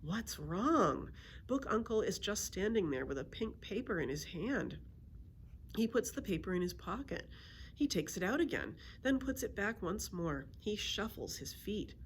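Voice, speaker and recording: A woman reading a children's story aloud in an expressive storytelling style. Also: medium pitch, measured pace, a little hiss or hum. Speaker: library story reader.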